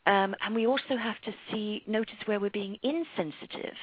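A middle-aged woman with an English accent speaks in a husky voice, as a guest explaining spiritual ideas in an interview. The sound is dry and slightly dull, like lo-fi radio. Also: mid-range voice, moderate pace, dry recording. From London, England. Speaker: guest numerologist and author.

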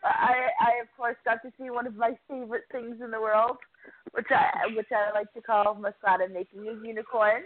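A woman reporter giving a chatty, enthusiastic recap of a wrestling show, quick to poke fun at herself. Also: on the high side, little pitch movement. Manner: chatty, enthusiastic, self-deprecating. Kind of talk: casual podcast recap. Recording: tinny phone line.

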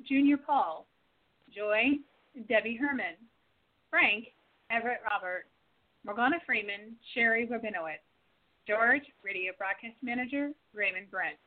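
Woman narrator, clear announcer delivery, slightly high, tinny line. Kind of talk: scripted narration. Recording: tinny phone-like sound.

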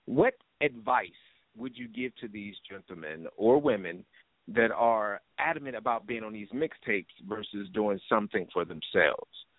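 Male, casual interviewer. Slightly gravelly edge, heard down a narrow phone line. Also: fairly low pitch, big pitch swings, unhurried, no echo. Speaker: show host. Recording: tinny phone-line radio show audio.

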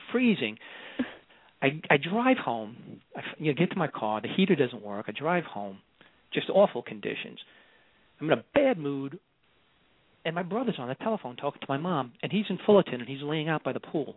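A man's voice: medium pitch, no echo. Man in his sixties, New York accent, chatty rambling storyteller, dry tinny phone-like sound. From New York, Long Island. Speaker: guest author. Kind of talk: casual podcast interview.

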